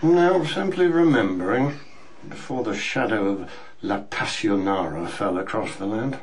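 Low, dry senior servant. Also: male voice, animated, rough recording. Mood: grave, nostalgic. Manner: measured, portentous, dry. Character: stoical, enigmatic senior male servant, dry and dutiful. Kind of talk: radio drama acting.

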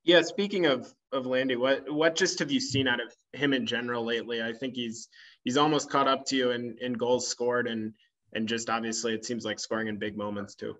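A man's voice: medium pitch, fairly even intonation, brisk, a bit bright. A man, a reporter asking questions over a video call, hesitant and repeating words as he goes. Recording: muffled remote call audio.

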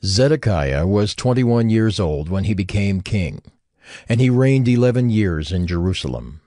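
A man narrating a dramatized scripture reading in a measured, formal manner. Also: lowish voice, very animated, mellow, no room sound, studio quality. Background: music under the voice.

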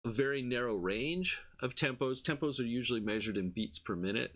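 A man with a fairly low voice teaches in an informal lecture style. He explains things conversationally, often setting up a question and then answering it himself. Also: fairly bright tone. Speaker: university music lecturer. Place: indoor room, screen-recorded lecture with webcam.

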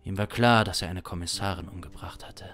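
Low-pitched man narrating a dark crime story. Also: a little fast. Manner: dramatic, intense. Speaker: improvising audio drama performer. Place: small home studio, indoors. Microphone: close studio condenser mic. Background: instrumental music.

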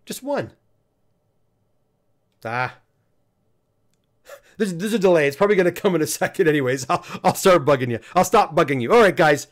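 A man in his fifties with a fairly high voice, chatting casually on a livestream from a small home room. He reads viewer comments aloud, laughs easily and keeps nudging viewers to press the like button. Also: animated, normal speed, pauses often. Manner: chatty, laughs often, coaxes viewers to hit like. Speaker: livestream host reading viewer chat. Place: home studio room, indoors.

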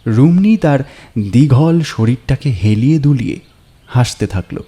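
A male storyteller with a mellow, dark voice reads at a very unhurried pace. His pitch moves expressively through dramatic, atmospheric narration with measured pauses, over faint music. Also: lively intonation.

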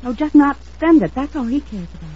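A woman's voice: high voice, expressive, fairly quick, a little mellow. An actress playing an anxious, earnest young woman in an old-time radio drama, with dramatic, hesitant delivery. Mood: urgent, worried. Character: earnest, anxious young woman, defensive about her sweetheart. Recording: dull old radio recording.

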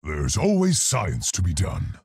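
A man voicing a large, earnest, polite and brave gorilla scientist for a video game, gruff and articulate in his delivery. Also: low voice, huge pitch swings, measured pace, a little mellow, dry recording, clean studio sound, full character voice. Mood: earnest, heroic. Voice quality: gruff, husky, gravelly. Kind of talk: video game character voice lines.

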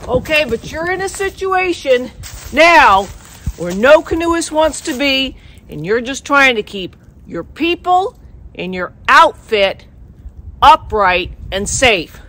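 A woman's voice: high-pitched, fairly bright tone. A woman canoe guide and show host, talking outdoors in an emphatic, folksy way that keeps checking in with "okay?". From Maine. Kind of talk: outdoor instructional talk to camera. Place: outdoors by the water.

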